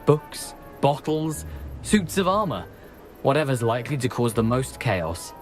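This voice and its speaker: Game role: cheery headless British adventurer ghost, animated mid-range voice, drily amused and talkative. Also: male voice, medium pitch, a little slow, soft background music. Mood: wry, chatty. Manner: wry, theatrical, chatty. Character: cheery, wry decapitated male ghost, roguish adventurer. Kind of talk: video game character acting.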